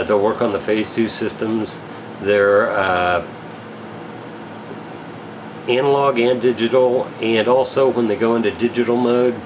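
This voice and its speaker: A man giving a casual, unscripted product rundown as a gear reseller, halting and technical in a matter-of-fact way. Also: lowish voice, background noise. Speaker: radio equipment reseller presenting gear.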